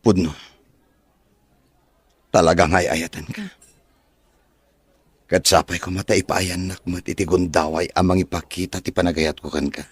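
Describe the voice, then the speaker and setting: Casting: man playing a courteous Filipino gentleman farmer, low-pitched, earnest, recorded very dry. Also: fairly even intonation, moderate pace, pauses often, husky, no echo. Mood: earnest, tender. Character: earnest, courteous farm owner in love. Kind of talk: dialogue in an Ilocano serial drama.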